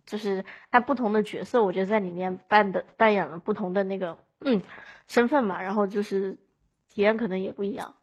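Husky-voiced woman chatting casually. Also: mid-range voice, brisk, rough recording. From southern China. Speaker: podcast guest. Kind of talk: casual chat.

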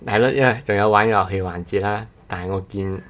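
A man's voice: on the low side, fairly quick, fairly bright tone. A man chatting casually as a podcast co-host, hesitant and repeating his phrases. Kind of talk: casual chat.

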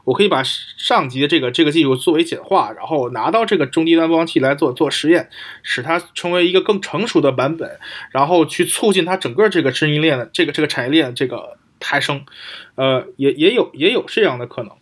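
A male podcast co-host with a fairly high voice and a quick pace. He reasons aloud in casual analysis, repeating words as he goes. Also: animated.

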